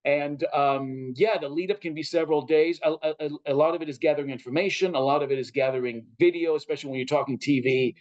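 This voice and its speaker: A man's voice: on the high side, quick pace, few pauses, a little mellow, lo-fi sound. A man with an American accent giving expansive, articulate answers as an interview guest, in a conversational style. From Chile and Southern California. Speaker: interview guest, travel editor and broadcaster.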